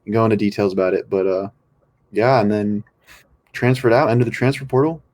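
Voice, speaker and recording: A man's voice: on the low side, steady tone, brisk, darkish tone. A young man from California, a podcast guest, talking in a laid-back, rambling way with many ums. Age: young adult. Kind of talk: casual podcast chat.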